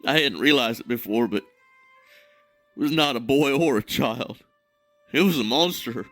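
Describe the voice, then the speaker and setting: Tormented fantasy widower, mid-pitched, self-loathing, dramatic pauses, audio drama, music behind. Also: male voice, a bit bright, dry recording. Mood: bleak shame. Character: ashamed, grieving man haunted by his own weakness.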